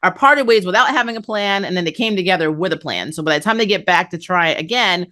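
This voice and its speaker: Low-pitched woman giving a casual review. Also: expressive, fast talker, bright, forceful tone. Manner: conversational, chuckles between phrases. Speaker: host of an anime review channel. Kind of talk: casual episode review commentary.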